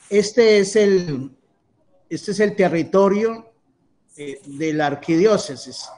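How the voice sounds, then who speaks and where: Priest guy, fairly high voice, stops and restarts a lot going over a map. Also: darkish tone. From Colombia. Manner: halting, with many restarts and fillers. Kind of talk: presentation explaining a map.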